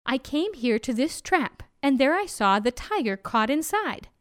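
A woman's voice: high-pitched, sing-song, unhurried, no echo, clean studio sound. A woman voicing a kindly, earnest male Brahmin in a storybook, speaking with patient, rueful sincerity. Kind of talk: storybook character voice.

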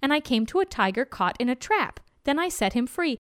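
A woman's voice: sits high, big pitch swings, a little fast, clean tone, no echo, clean studio sound. A woman voicing a kindly, earnest male Brahmin in a storybook, speaking with patient, rueful sincerity. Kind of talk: storybook character voice.

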